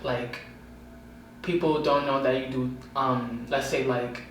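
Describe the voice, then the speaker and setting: A woman hosting a casual interview, speaking in slangy phrases and quick affirmations. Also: rumbling low, steady tone. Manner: slangy, hyping the guest, frequent short affirmations. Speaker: podcast interviewer. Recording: very echoey room, distant mic, faint noise.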